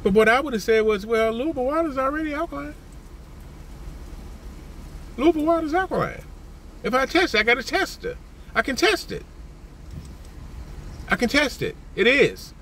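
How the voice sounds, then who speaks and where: A high-pitched, bright-voiced older man delivers an exasperated vlog rant from the seat of a parked car. He repeats his points and jumps higher whenever something annoys him. Faint noise from the street outside the car sits under his voice. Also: normal speed, long pauses. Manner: animated, indignant, repeats himself, jumps up in pitch when worked up. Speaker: vlogger. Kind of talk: ranting car vlog to camera. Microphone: phone camera at arm's length.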